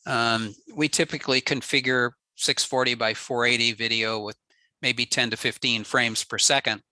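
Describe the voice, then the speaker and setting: A man giving a relaxed technical slide talk on a radio network, explaining with frequent ums and uhs. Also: mid-range voice, normal speed, dry recording, low-quality recording. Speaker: ham radio presenter.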